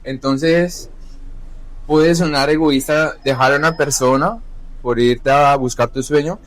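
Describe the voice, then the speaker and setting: A young adult man, a chef, talking candidly about his life in a halting, self-correcting way. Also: on the high side, fairly even intonation, no echo, poor audio. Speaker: chef, podcast guest. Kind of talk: candid personal conversation.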